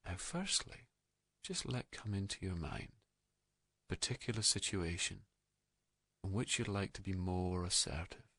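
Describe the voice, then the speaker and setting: Calm guy doing guided meditation, real low voice w some fry, gentle and soothing, super dry close sound. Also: unhurried, pauses often, no echo. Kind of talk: slow guided meditation, hypnotic narration.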